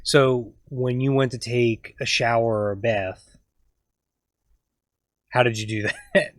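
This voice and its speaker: A man's voice: medium pitch, fairly even intonation, slow, no room sound, clean studio sound. A man interviewing his grandfather, asking curious follow-up questions. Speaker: family interviewer. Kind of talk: casual family interview.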